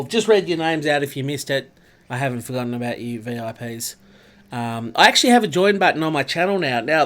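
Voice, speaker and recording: A man's voice: fairly high pitch, fairly bright tone. Congested Australian man streaming a racing game. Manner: chatty, greets viewers by name. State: congested, nasal from a cold. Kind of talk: casual live-stream chat over gameplay.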